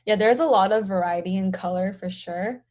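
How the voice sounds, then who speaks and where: A young woman, a pharmacy student from the United States, explains home cooking in a casual, soft-spoken interview manner with frequent fillers. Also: medium pitch, moderate pace. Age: young adult.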